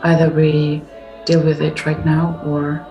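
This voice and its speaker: A woman filmmaker speaks in a very low voice with little melodic movement. Her phrasing is formal and deliberate. Music is clearly audible behind her. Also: monotone, mellow, highs cut off. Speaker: video artist and filmmaker. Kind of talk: documentary interview.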